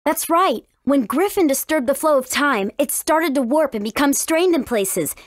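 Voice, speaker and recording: A friendly teenage princess heroine in a video game, speaks in a high, very animated voice. She is earnest and careful, and the recording is dry and close. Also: moderate pace, dry recording. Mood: informative, earnest. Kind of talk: video game dialogue acting.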